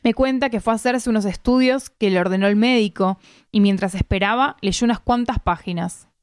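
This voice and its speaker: A woman from Argentina with an Argentine Spanish accent reads a literary first-person story aloud in measured, deliberate phrasing. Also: medium pitch, darkish tone, clean tone, no echo, studio quality. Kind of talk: literary narration, read aloud.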